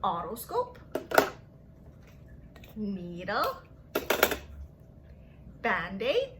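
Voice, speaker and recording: A woman's voice: mid-range voice, huge pitch swings, very slow, heavy background noise. A young woman leading a lesson for small children in a sing-song, exaggerated manner, asking questions and giving praise. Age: young adult. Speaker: children's story time teacher. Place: small furnished room at home.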